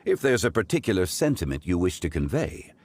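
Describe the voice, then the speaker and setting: A man playing a calm, wise, courteous scholarly gentleman in a video game, speaking formally in measured, eloquent phrases. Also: sits low. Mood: gentle, reassuring. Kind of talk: scripted video game dialogue.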